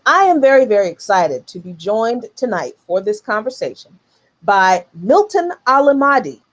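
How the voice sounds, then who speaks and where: A woman's voice: medium pitch, very animated, normal speed. Female talk show host, mid-range voice, earnest and emphatic, conversational live current events presenting. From United States.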